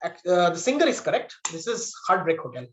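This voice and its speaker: A man's voice: sits high, sing-song, fairly quick, a little hiss or hum. A man acting as quiz master, reading out trivia questions and giving verdicts on answers.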